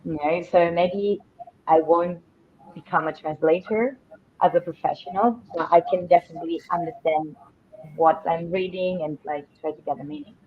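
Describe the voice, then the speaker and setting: A woman with a lowish voice chats casually in a group conversation. is her language. The sound is a little muffled, like an online call. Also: steady tone, normal speed, slightly rough. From Italy. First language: Italian. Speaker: guest in a language conversation panel. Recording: dull, band-limited online call audio.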